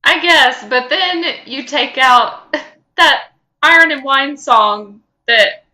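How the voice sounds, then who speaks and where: A woman speaks in a high, bright voice at an unhurried pace. She is a podcast co-host, chatting casually with short reactions.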